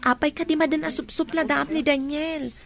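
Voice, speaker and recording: A woman's voice: sits high, fairly even intonation, normal speed, gravelly, a crowd in the background. A woman playing a sensible young student in a radio drama, the peacemaking friend who chides and placates. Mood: chiding. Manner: chiding, placating.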